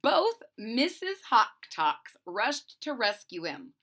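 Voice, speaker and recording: Animated woman reading a story aloud, slow pace. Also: on the high side. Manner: expressive, pausing to recap the story simply. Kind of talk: animated read-aloud of a picture book for children.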